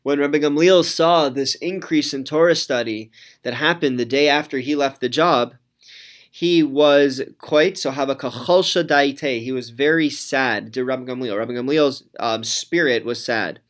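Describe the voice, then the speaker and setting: A man teaching a Talmud class, reading each Aramaic phrase and then translating it, with frequent pauses and ums. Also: mid-range voice.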